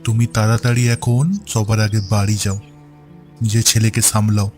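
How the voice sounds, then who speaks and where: Man voicing a flat, grave village landlord. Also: fairly low pitch, moderate pace, soft background music, lightly acted. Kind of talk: Bengali cartoon voice acting.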